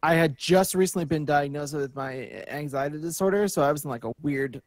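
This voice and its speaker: A man's voice: fairly high pitch, brisk, dry recording, studio quality. A man co-hosting a gaming podcast, chatting casually. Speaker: podcast co-host.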